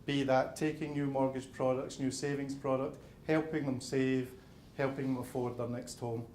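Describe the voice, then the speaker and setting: A man's voice: mid-range voice, level tone, moderate pace, darkish tone, roomy sound, a little hiss or hum. A man with a Glasgow accent, a building society manager explaining things plainly to members at an event, often restarting his sentences. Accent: Glaswegian. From Scotland. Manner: plain-spoken, explains step by step with repeated openers. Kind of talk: presentation and audience Q&A. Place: event venue with an audience.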